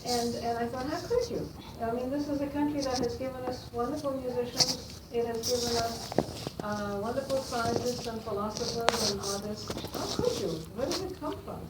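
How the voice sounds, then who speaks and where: A woman's voice: on the high side, reverberant. Older Austrian woman, distant in a noisy room. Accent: Austrian German. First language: German. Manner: halting, many fillers and restarts. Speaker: guest speaker to students. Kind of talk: classroom talk.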